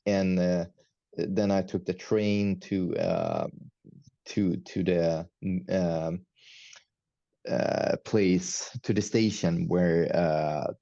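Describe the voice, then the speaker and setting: A very deep, gravelly male voice with a dark, mellow tone, speaking slowly. He is a Swedish-native metal musician being interviewed, hesitant, with frequent fillers and restarts. The sound is dry and a bit dull, like a lo-fi call. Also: lively intonation, dry recording. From Sweden. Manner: hesitant, many fillers and restarts, laughs often. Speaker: guest musician, metal band. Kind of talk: casual interview chat.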